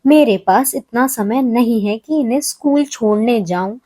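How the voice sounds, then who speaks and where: A woman's voice: a little high, normal speed, warm tone, no room sound, clean studio sound, natural voice. A woman voicing a worried, hard-working mother goat in a children's story, earnest and plaintive. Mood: earnest, burdened.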